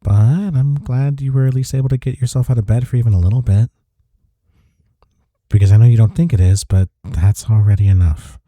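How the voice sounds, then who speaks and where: A man playing a devoted, gentle boyfriend in an intimate comfort audio roleplay, soft-spoken and reassuring. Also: medium pitch, fairly even intonation, fairly quick, no room sound, close to an everyday voice. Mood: tender, reassuring.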